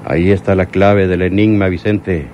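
An actor playing a bitter, menacing crime boss in a Mexican radio drama, with a Mexican accent. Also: male voice, low-pitched, fairly even intonation, normal speed, darkish tone, a little hiss or hum, close to an everyday voice. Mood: bitter, angry.

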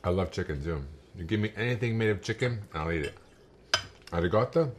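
Middle-aged guest man, low voice, relaxed and candid taster. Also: fairly quick, slight background noise. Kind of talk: casual chat. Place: home kitchen.

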